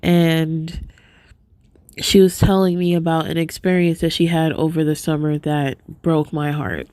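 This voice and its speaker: A woman's voice: low-pitched, steady tone, measured pace, clear, resonant tone, no room sound. A congested, sniffly woman hosting a podcast, talking earnestly and casually to her viewers. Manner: sniffly, soft-spoken, earnest. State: congested, stuffed-up nose. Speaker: podcast host.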